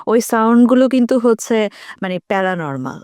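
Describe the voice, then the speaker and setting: A woman's voice: fairly high pitch, expressive, brisk, darkish tone, dry recording. A woman with a Bangladeshi accent telling casual anecdotes in a group chat, dropping in English words.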